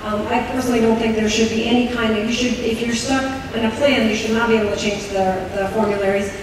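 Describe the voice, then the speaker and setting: A woman's voice: on the high side, steady tone, fast talker. A woman explaining benefit rules at a town hall meeting, speaking hesitantly with many ums and restarts. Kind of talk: informal public talk with many fillers. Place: large echoey meeting hall. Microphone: room mic heard from a distance. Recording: echoey hall recording from far off with background noise.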